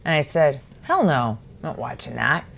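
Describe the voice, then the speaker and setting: A woman's voice: very deep voice, big pitch swings, moderate pace, creaky. A woman co-hosting a casual chat, telling stories animatedly with lots of restarts. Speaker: co-host.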